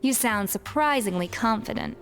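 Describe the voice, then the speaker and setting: Composed, higher-voiced proud chef. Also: female voice, lively intonation, normal speed, fairly bright tone. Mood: confident. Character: proud, self-assured woman master chef. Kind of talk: video game voice acting. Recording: music behind the voice.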